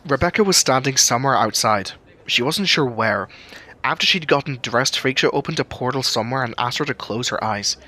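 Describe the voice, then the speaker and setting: A man's voice: mid-range voice, expressive, brisk, bright, forceful tone. A man reading scripted story narration as the narrator of a fiction tale.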